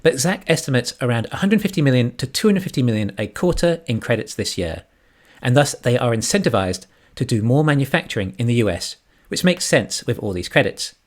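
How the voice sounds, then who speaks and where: A man's voice: mid-range voice, big pitch swings, fairly quick. A man giving scripted financial commentary as an online analyst and narrator. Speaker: YouTube stock analyst.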